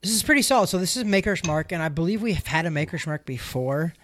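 A man's voice: fairly high pitch, expressive, fast talker, fairly bright tone, a little hiss or hum. A man co-hosting a casual boxing podcast in a gym's podcast room, chatty and a little scattered. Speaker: podcast co-host. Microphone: studio mic with headphones.

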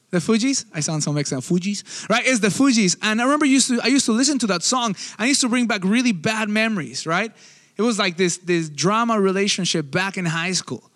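A young male pastor with a high, bright, ringing voice preaches in a conversational way, dropping quick asides. His voice carries a long hall reverb over soft music behind him. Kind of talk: sermon.

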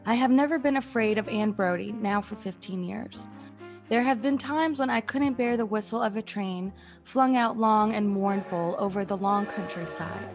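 A woman playing a gently sceptical, concerned friend and narrator in a classic radio suspense play. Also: mid-range voice, normal speed, music in the background, close to an everyday voice. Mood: haunted, sombre.

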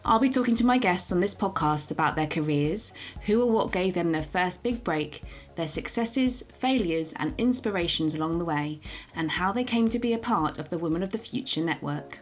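A woman hosting a podcast, briskly reading a formal scripted introduction of her guest. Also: lowish voice, lively intonation, soft background music. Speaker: podcast host.

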